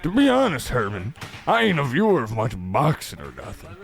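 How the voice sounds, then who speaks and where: A man playing a simple, reluctant young lowlife boxer in a radio comedy, plain-spoken and excitable. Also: moderate pace, vocal fry. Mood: sheepish, baffled. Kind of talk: scripted radio comedy acting.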